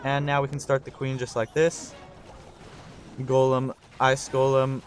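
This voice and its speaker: A slightly hoarse young man giving live commentary on a strategy game, talking through his thinking and getting excited on big plays. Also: mid-range voice, unhurried, soft background music. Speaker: gaming channel host.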